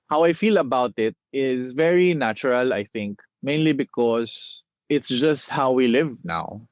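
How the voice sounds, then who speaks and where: A young Filipino man with a Filipino accent, a spoken-word poet reciting his poems from memory with rhythmic phrasing and dramatic pauses. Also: mid-range voice, no room sound. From Philippines.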